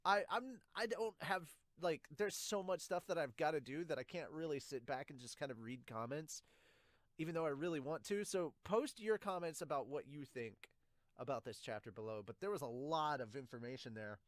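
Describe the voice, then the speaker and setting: A man's voice: a little high, normal speed, dry recording. Young man rambling casually, dry close mic. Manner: rambling, thinking aloud. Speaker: podcast host reviewing manga. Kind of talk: casual reaction commentary. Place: small indoor room with bookshelves. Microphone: close studio condenser with pop filter.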